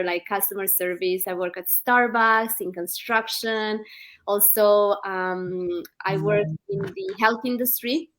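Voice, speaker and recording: A woman's voice: mid-range voice, a bit bright. A woman in her thirties from Romania, a guest in a live online interview, talking earnestly with many ums and restarts.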